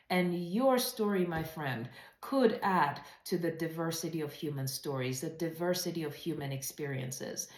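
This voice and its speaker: A woman's voice: deep voice, roomy sound. A woman presenting a writing webinar in an earnest, emphatic, conversational way, putting rhetorical questions to her listeners. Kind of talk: webinar talk, conversational teaching.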